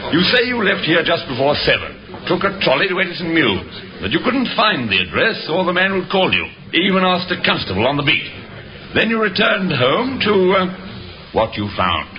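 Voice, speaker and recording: Methodical inspector, formal, fairly high voice, dull noisy vintage radio recording. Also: male voice, very animated. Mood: probing. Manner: measured, formal, questioning. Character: methodical, probing male police inspector. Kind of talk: 1950s radio drama acting.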